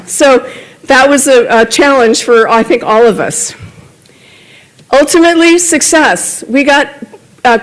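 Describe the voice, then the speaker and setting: A woman's voice: high-pitched, poor audio. Woman reporting at a club meeting. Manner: conversational, with self-corrections and small laughs. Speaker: club member reporting on a grant project. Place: meeting room, at a podium.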